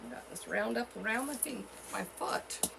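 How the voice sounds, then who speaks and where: A woman with a cold and a froggy, hoarse voice, chatting casually as she walks through a craft tutorial at home. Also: on the high side, lively intonation, noisy background. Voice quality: froggy, gravelly. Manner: chatty, unhurried, with fillers and self-corrections. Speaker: craft channel host.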